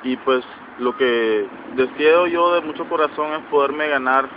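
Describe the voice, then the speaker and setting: A man's voice: medium pitch, unhurried. A man in his twenties from the Atlantic coast of Nicaragua, a reggaeton artist answering interview questions outdoors with many fillers and restarts. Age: 20s. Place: outdoors by a sports field in a city. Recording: lo-fi with street and traffic noise.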